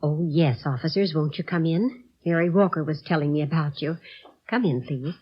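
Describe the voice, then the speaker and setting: Genteel, fussy low-voiced housewife. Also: female voice, normal speed, husky. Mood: hospitable, flustered. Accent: American. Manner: chatty, polite, distracted by her baking. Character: genteel, fussy housewife, politely evasive suspect. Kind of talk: 1950s radio drama acting. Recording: muffled, noisy old radio recording.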